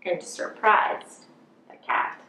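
A woman in her thirties reads a picture book aloud to young children, explaining simply and stressing key words. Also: bright, forceful tone. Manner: slow, deliberate, emphasizing key words. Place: small plain indoor room. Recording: roomy indoor echo.

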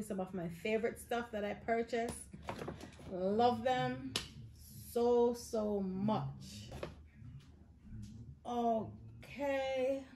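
A woman's voice: mid-range voice, background noise. A woman in her thirties with a Jamaican accent, chatting casually to camera in Patois-inflected phrasing as a lifestyle vlogger.

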